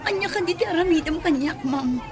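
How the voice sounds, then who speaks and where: A woman's voice: extremely high pitch, expressive, a little fast, crowd noise. An actress playing a timid, frightened young servant woman who pleads meekly in a radio drama. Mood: frightened, pleading. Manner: meek, pleading, deferential.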